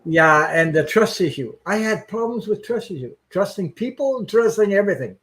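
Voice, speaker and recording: A man hosting a live-stream chat, talking in an earnest, halting way on a video call. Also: high voice, animated, fairly bright tone. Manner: earnest, halting, stops and restarts as he opens up. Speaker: host.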